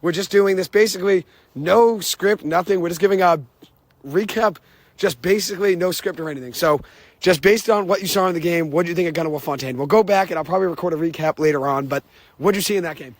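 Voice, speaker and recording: A young man hosting a sports podcast, rattling off stats in an excited, unscripted recap, his voice a little hoarse. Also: sits high, no room sound. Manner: excited, rapid-fire stat recitation. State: hoarse, says he lost his voice. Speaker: podcast host.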